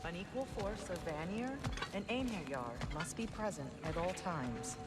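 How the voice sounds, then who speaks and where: A woman playing a proud, stern middle-aged noblewoman in a fantasy video game, speaking in a formal, firm, measured manner. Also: lowish voice, animated, over loud music, close to an everyday voice. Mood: businesslike.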